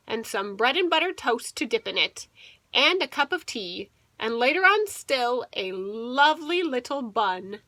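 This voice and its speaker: A woman's voice: fairly high pitch, bright, forceful tone, no echo. A woman teacher reading a picture book aloud to young children, expressively and with little explanatory asides.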